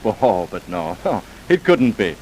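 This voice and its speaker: An actor playing a thoughtful laboratory worker who speaks in a measured, musing way in an old radio drama. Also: male voice, medium pitch, big pitch swings, vocal fry, a little mellow, a little hiss or hum, exaggerated voice, poor audio. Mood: puzzled, thoughtful.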